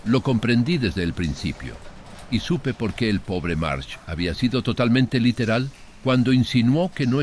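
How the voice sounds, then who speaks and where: A man's voice: medium pitch, a little hiss or hum. A man narrating a horror story in a dramatic first-person audiobook style.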